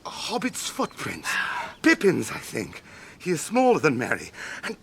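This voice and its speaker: An actor playing a noble, resolute ranger and leader, stately and declamatory, in a radio drama. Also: male voice, a little high, wildly swooping pitch, a little slow, fairly bright tone, breathy edge, full character voice. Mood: intent.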